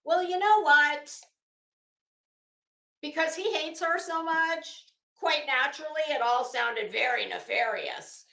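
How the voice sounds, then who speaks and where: A woman's voice: sits high, slow pace, vocal fry, bright, forceful tone, echoey room. A woman giving casual, opinionated commentary in a rambling, emphatic and blunt way, swearing freely. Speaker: online commentary host.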